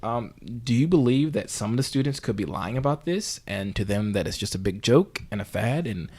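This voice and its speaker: A man playing a bumbling, earnest talk-show host in an improvised comedy interview, with halting, rambling phrasing. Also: mid-range voice, lively intonation, darkish tone, husky. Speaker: podcast host.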